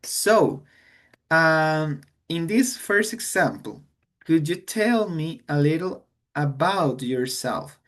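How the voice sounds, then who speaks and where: Guy teaching english grammar, slow, switches to to explain. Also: on the high side, animated, fairly bright tone. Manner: slow, explains in English then repeats in Spanish. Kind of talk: online grammar lesson.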